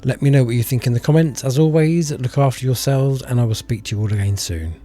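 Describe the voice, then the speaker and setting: A man presenting a scripted video commentary, speaking in a measured, earnest way straight to his viewers. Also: mid-range voice, warm tone, soft background music. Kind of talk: scripted explainer narration with direct address to viewers.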